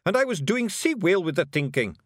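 Man voicing a tiny boastful Scottish fairy chief, high and lively, gruff, dry booth. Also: no room sound. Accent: broad Scottish. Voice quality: gruff, boastful, quick to bristle. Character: small brash male fairy clan chief, blustering and proud.

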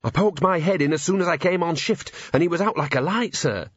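Man doing an earnest, higher-pitched young constable. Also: fairly quick, husky, no room sound, dull audio. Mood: urgent, deferential. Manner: deferential, urgent reporting. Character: earnest, dutiful young male constable reporting to his superior. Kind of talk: audiobook character voice.

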